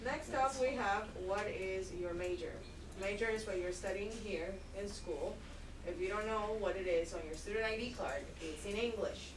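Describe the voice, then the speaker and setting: A woman teaching an English conversation class, talking slowly and clearly. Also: mid-range voice, background noise. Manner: slow, clear teacher talk. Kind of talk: classroom instruction. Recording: echoey classroom from far off.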